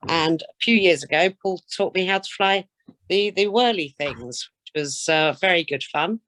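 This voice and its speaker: A woman's voice: on the low side, normal speed, fairly bright tone, slight background noise, rough recording. A British woman getting over a cold, introducing herself and chatting casually in a hesitant, self-effacing way. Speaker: air traffic controller, flying instructor and radio examiner.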